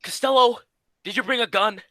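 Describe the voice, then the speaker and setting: A man's voice: very high voice, moderate pace, noisy background. A man playing the exasperated, scolding straight man of an old radio comedy duo, in a 1940s radio acting style. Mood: impatient. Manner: scolding, insistent.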